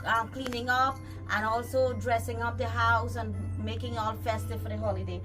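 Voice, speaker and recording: A woman's voice: fairly high pitch, steady tone, normal speed, over music. Chatty Guyanese woman cooking outdoors. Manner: chatty, talks to viewers and pets. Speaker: cooking vlogger. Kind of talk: casual cooking demonstration. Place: backyard outdoors.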